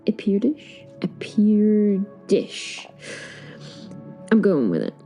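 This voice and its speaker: A woman playing a timid, flustered professor, telling her story in the first person with expressive, hesitant, wry asides. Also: medium pitch, slow, faint music behind, full character voice.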